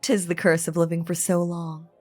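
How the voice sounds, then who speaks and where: A woman playing an ancient, playful yet menacing female vampire in an audio drama, teasing in theatrical, old-fashioned phrasing with a husky, breathy voice. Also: lowish voice, level tone, a little mellow, natural voice. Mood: teasing, nostalgic. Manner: theatrical, teasing, archaic wording, deliberate pauses. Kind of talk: dramatic character audio roleplay monologue.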